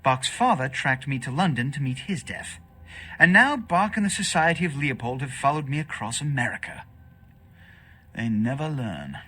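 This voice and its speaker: A man playing an authoritative, aloof vampire leader in a video game, speaking in a haughty, measured, formal way. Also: medium pitch, animated, sharp tone, slightly rough. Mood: cold, superior. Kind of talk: video game dialogue acting.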